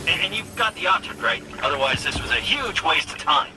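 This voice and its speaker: A man's voice: on the high side, big pitch swings, fairly quick, over loud music. An actor playing a flippant, joking young male scout in video game dialogue. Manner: flippant, laughing.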